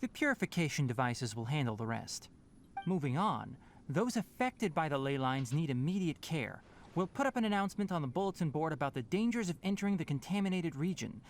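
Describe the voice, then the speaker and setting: An actor playing a young, scholarly forest ranger in a video game, composed and brisk, explaining things precisely and firmly. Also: male voice, a little high, slight background noise. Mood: businesslike. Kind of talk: video game character acting. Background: faint game music.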